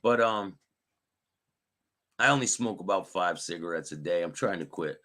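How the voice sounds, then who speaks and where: A man with a raspy voice hosting a live stream. He reads news aloud and chats with viewers by name. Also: sits low, moderate pace, sharp tone. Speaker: host.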